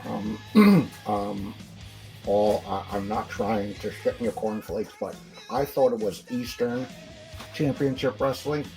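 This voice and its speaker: A man's voice: on the low side, lively intonation, slow pace, warm tone, low-quality recording. A man playing a wrestling promoter's cautious business partner in an audio play, hesitant and pleading as he reasons. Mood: cautious objection. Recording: faint music behind the voice.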